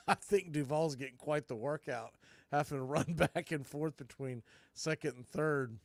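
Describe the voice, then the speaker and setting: A man's voice: a little high, measured pace, dry recording. A man doing live baseball play-by-play as a stream host, mixing clipped calls with folksy, joking asides and casual chat.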